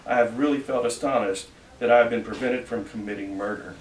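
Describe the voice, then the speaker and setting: A man with a mid-pitched voice reads an old letter dramatically and formally, with deliberate emphasis. He sounds set back from the microphone in a very echoey room. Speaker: reader at a library event. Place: library reading room at a public event. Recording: echoey room, distant mic, faint noise.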